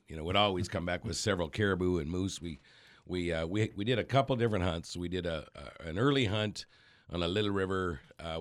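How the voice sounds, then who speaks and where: Radio host guy with a cold, very low dry voice, talks in a meandering way. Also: no room sound. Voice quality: rambling, halting with fillers. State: has a cold. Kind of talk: casual radio chat. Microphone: studio microphone.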